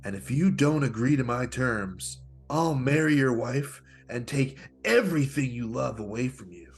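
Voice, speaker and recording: A man playing a smug, menacing con-artist pickup guru in a comedic script read, with taunting, theatrical delivery and a husky voice. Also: medium pitch, expressive, soft background music. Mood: threatening. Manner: taunting, theatrical, deliberate threats.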